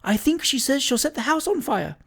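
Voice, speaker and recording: A nervous little woman from a comic storybook, acted out by a narrator, pitched high. She is gossipy and easily horrified, exclaiming in trembling alarm. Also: expressive, moderate pace, lightly acted.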